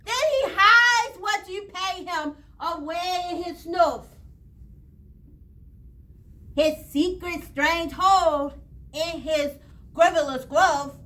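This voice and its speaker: Lady doing storytime, super high bright voice, really takes her time, theatrical. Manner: theatrical, drawn-out phrasing, pausing to show pictures to listeners. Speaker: storybook reader at a library read-aloud. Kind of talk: expressive read-aloud of a rhyming children's story.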